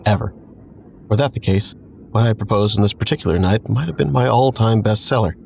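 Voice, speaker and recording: A man's voice: on the low side, brisk, vocal fry, a little mellow, a little hiss or hum. A man playing a wry, self-deprecating storyteller in an audio drama, narrating his reckless youth with sardonic digressions. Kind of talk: scripted first-person audio drama narration.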